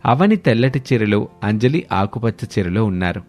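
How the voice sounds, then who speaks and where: Low-voiced man narrating a story over music. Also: sing-song, normal speed, darkish tone.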